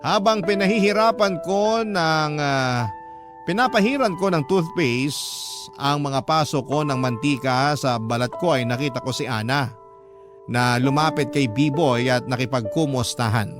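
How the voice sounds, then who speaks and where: A man's voice: mid-range voice, unhurried. Male story narrator over music. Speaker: narrator of a love story series. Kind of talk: first-person scripted story narration. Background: music clearly under the voice.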